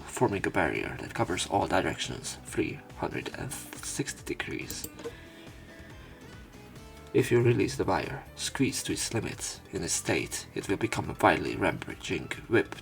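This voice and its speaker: Male visual novel narrator, deep voice, tired delivery with swings in pitch, faint music behind. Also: creaky. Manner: subdued, tired-sounding delivery. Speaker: let's play narrator. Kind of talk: reading a visual novel aloud with casual commentary. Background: game music.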